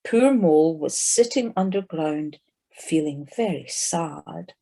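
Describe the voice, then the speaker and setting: A woman's voice: low-pitched, lively intonation, takes it slowly, creaky, mellow. A woman narrator reading scripted storybook narration for an audio play.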